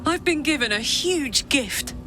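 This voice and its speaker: A woman's voice: high-pitched, expressive, a little slow. A woman acting a tough, big-hearted, defiant warrior in a fantasy video game, frank and emotionally open. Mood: pleading, heartfelt.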